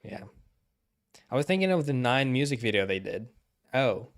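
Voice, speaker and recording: A man's voice: medium pitch, sing-song, normal speed. A man giving casual livestream commentary in a hesitant way, often repeating himself. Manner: hesitant, repeats himself, frequent throat clearing.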